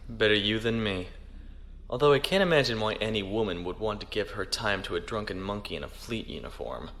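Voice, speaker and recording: A man playing a dutiful, reserved naval lieutenant in a science fiction audio drama, speaking in a formal, measured way. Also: fairly low pitch, lively intonation, fairly bright tone. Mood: dry, resigned. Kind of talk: audio drama acting, log narration.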